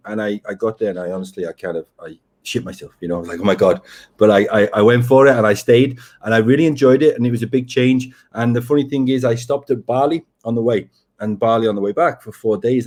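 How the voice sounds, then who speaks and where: A man's voice: medium pitch, a little mellow. Rambling Liverpool man on a video call. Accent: Liverpool English. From England, near Liverpool. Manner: rambling, candid, many restarts. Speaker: guest, breath coach. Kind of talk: casual interview storytelling.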